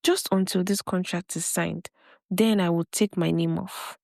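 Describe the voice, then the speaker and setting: A smooth-talking suitor , voiced by a woman, coaxes and reassures in a storybook tale. The recording is close and dry. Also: on the low side, steady tone, measured pace, darkish tone, dry recording. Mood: coaxing. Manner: coaxing, reassuring. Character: smooth-talking, scheming male suitor and businessman.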